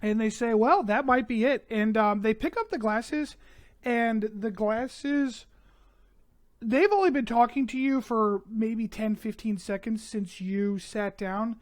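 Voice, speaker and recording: A man's voice: high-pitched, takes it slowly. Hesitant man narrating as game master. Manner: hesitant, many fillers. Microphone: headset.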